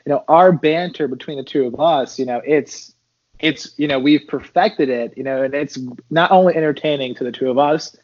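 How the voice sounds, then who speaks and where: A man being interviewed as a podcast guest, chatting casually in a loose, bantering, halting way. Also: fairly high pitch, darkish tone, muffled recording.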